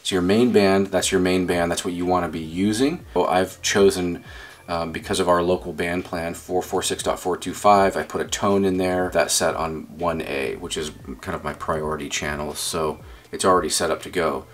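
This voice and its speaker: A man's voice: deep voice, steady tone, normal speed. A man giving a casual hands-on demonstration as a radio hobbyist, explaining informally and thinking aloud as he goes. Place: indoors at a desk.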